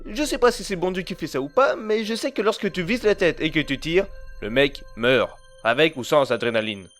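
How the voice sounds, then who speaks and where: A man's voice: on the high side, fairly quick, fairly bright tone, faint music behind, natural voice. A man playing a level-headed underworld agent in a French audio drama, with a dry, colloquial, slightly exasperated manner. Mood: argumentative.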